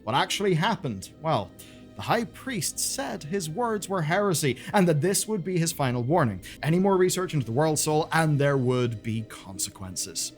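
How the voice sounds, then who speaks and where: A man presenting game lore as dramatic scripted narration, with pointed pauses and colloquial asides. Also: medium pitch.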